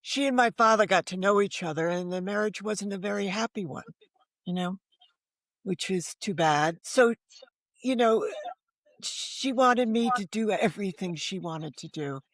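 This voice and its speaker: A woman's voice: medium pitch, measured pace, no room sound. Older American woman, candid conversational storyteller. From United States. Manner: candid, self-deprecating, rhetorical asides. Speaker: novelist, interview guest. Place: remote video call.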